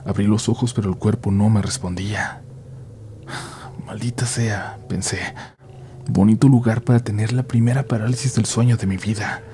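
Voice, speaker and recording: A man narrating a first-person horror story in a hushed, measured way, sometimes dropping to a near-whisper. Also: fairly low pitch, steady tone, a little hiss or hum.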